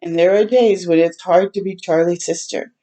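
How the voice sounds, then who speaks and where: A woman reads a picture book to children as a storytime host. Her voice sits a little low and has a very mellow, relaxed tone. She is gentle and expressive, adding tender asides to her listeners. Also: moderate pace, low-quality recording. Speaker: children's read-aloud host.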